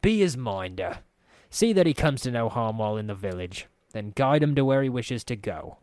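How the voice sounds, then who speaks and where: A man voicing a menacing older man on a crutch, quiet, silky and dangerous. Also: mid-range voice, very animated, moderate pace, no room sound, studio quality, lightly acted.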